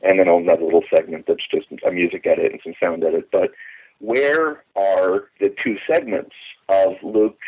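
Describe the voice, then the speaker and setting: Muffled, husky low-voiced man reminiscing. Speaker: interview guest. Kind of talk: conversational reminiscing about radio drama. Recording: muffled, band-limited phone line.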